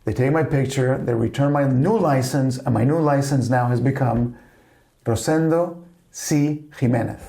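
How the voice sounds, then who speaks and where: A man's voice: mid-range voice, moderate pace, creaky, mellow. A middle-aged man from Spain with a slight Spanish accent, telling family stories in a rambling casual chat full of "you know". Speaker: family podcast guest.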